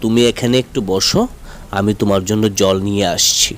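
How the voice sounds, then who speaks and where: A man's voice: on the low side, steady tone, fairly quick, slight background noise, voice pushed down, natural voice. A man voicing a tired, kindly village doctor in a cartoon ghost story, full of questions and exclamations. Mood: concerned, soothing. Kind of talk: cartoon horror story acting.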